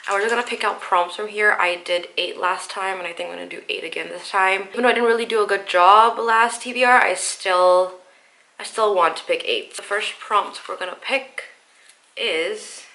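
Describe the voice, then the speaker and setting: A woman's voice: mid-range voice, moderate pace, bright, forceful tone, low-quality recording. A young woman vlogging about books, nasal and stuffed up from the flu, chatty and explaining each pick step by step. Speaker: book vlogger. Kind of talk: casual vlog talk to camera.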